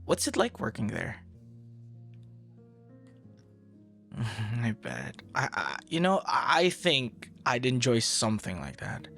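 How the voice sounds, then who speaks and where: A man's voice: medium pitch, huge pitch swings, measured pace, vocal fry, a bit bright, faint music behind, exaggerated voice. A man performing an audio roleplay as a friendly, easily flustered young traveler chatting intimately and casually with a stranger, teasing and stammering playfully. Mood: curious, admiring. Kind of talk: intimate boyfriend-style ASMR roleplay, casual conversation.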